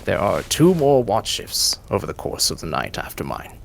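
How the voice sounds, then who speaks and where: A man's voice: fairly low pitch, huge pitch swings, normal speed, vocal fry, heavily acted. Man voicing a formal, dutiful camp leader. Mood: firm, advising.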